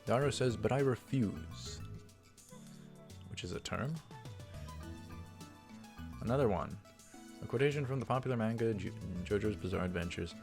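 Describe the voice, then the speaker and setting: A man's voice: on the low side, animated, moderate pace, vocal fry, pauses often, music in the background. A man hosting a let's play, reading visual novel text aloud and adding casual comments.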